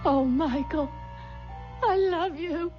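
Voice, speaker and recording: An actress playing a haunted, defiant young pregnant wife in an intense, melodramatic old-time radio drama style. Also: female voice, very high voice, slow, loud music behind the voice. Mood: anguished. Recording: muffled, very noisy old radio recording.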